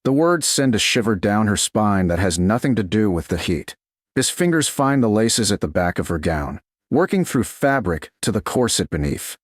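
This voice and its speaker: A man reading a slow-burn romance story aloud in a slow, hushed, deliberate manner. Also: on the low side, big pitch swings, no echo, studio quality. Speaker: narrator. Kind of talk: scripted romance narration.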